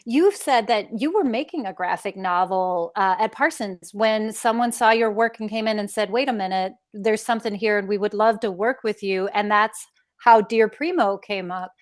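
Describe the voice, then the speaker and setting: A woman's voice: medium pitch, normal speed. A woman interviewer on a video call, conversationally putting questions to a guest. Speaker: interviewer relaying children's questions.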